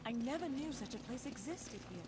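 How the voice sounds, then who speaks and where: An actress playing a determined young agent in a video game, earnest and questioning. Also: female voice, a little high, lively intonation, a little fast, close to an everyday voice. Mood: serious resolve. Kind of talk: video game cutscene acting. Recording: echoey, reverberant, noisy ambience.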